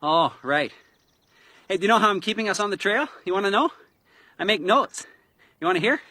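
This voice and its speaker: An actor playing an inquisitive, self-assured newspaper reporter, pressing and over-familiar, in a detective radio drama. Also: male voice, sits high, lively intonation, a little fast, bright, forceful tone, no room sound.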